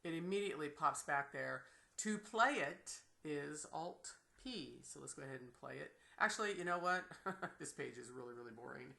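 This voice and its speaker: A woman giving an unscripted, enthusiastic screen-share software tutorial as a teacher, with a creaky voice. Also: low voice, expressive, normal speed. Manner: enthusiastic, exclaims in delight.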